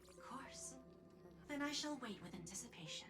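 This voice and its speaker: An actress playing a poised, persuasive corporate executive in a video game, speaking formally in measured phrases. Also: female voice, a little high, expressive, over music, natural voice. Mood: flattering, courteous. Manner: formal, smooth-talking, measured. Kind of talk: scripted video game dialogue.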